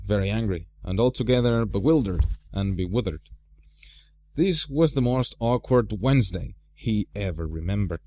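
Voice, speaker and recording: A man narrating a storybook as an amateur reader, with an expressive, theatrical delivery. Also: mid-range voice.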